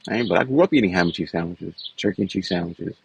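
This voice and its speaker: Gravelly low-voiced New man. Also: lively intonation, quick pace, a little hiss or hum. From New York City. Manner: loose and conversational, often tags lines with "man" and "you know". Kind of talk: casual personal vlog talk.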